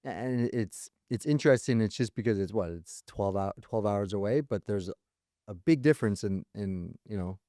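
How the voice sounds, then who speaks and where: A man's voice: fairly low pitch, moderate pace, warm tone, no echo. Rambling man on a dry mic. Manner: rambling, many fillers like "you know" and "like". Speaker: podcast co-host. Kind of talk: casual chat.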